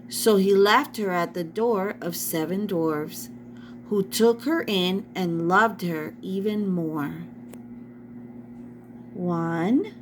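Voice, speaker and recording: A woman's voice: fairly low pitch, a little hiss or hum. A woman reading a story aloud to children, addressing her young listeners directly like a teacher.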